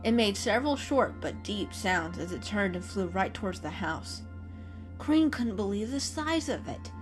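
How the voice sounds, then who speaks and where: A woman narrates a storybook as a dramatic reading. Her voice sits in a middle register for a woman, and music plays clearly behind her. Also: medium pitch, expressive, normal speed.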